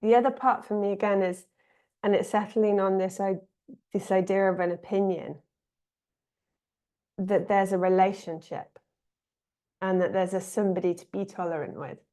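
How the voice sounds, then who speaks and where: English woman, spiritual teacher, calm musing talk, medium pitch. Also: normal speed, pauses often. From England.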